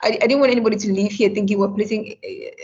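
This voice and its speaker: A woman's voice: mid-range voice, fairly even intonation, quick pace, a little mellow, husky, highs cut off. A woman who grew up in Cameroon, moderating a panel discussion over a video call and speaking earnestly. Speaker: webinar moderator.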